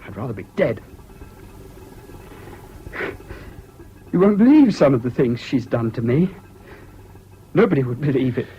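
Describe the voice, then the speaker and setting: An actor in a 1970s British radio drama playing a troubled, self-pitying jilted husband, halting and plaintive, on an old recording. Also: male voice, mid-range voice, big pitch swings, natural voice. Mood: bitter. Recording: dull, faintly noisy old radio recording.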